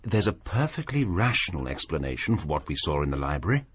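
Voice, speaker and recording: An actor playing a fussy, self-assured young scholar in a radio drama, speaking in a precise, reproving way. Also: male voice, a bass voice, sing-song, fairly quick, breathy edge, heavily acted. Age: young adult.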